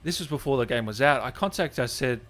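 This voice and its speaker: A man's voice: medium pitch, expressive, quick pace, a bit bright. He is a male livestream host with a mid-range voice. He chats casually to his audience as if to friends, in an informal, chummy style. The delivery is unscripted and conversational. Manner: chummy, informal, addressing viewers as guys and brother. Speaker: host of a gaming channel livestream. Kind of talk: casual livestream chat to viewers.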